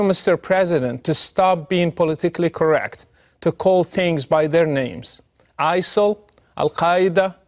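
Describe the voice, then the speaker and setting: A man in his forties delivers a scripted address straight to camera from a TV studio desk, earnest, deliberate and pointed. His voice sits a little high for a man, picked up close and clean by a lapel mic. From Morocco. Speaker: TV host.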